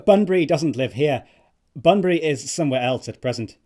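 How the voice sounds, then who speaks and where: An actor playing a flippant, evasive young gentleman in a stage comedy, glib and easily flustered. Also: on the high side, lively intonation, fairly quick. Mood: flustered bluffing. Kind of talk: theatrical stage comedy acting.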